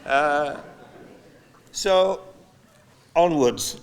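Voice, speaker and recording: An older man giving a halting, conversational memorial eulogy, telling anecdotes from notes with frequent pauses. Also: on the high side, clean tone. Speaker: eulogy speaker, friend of the deceased. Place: large hall at a memorial service. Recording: long hall reverb.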